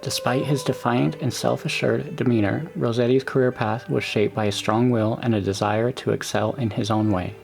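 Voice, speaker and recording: A man narrating a scripted documentary in a measured, even delivery, with music behind the voice. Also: mid-range voice, vocal fry.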